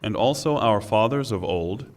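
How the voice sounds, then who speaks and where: A man reading a scripture translation in a formal, solemn and deliberate way. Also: fairly low pitch, expressive, long reverb tail. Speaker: reader of Quran translation.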